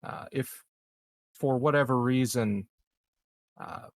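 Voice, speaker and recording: A man playing a halting, official colony leader in a tabletop game, with formal, hesitant phrasing. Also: medium pitch, no room sound, natural voice.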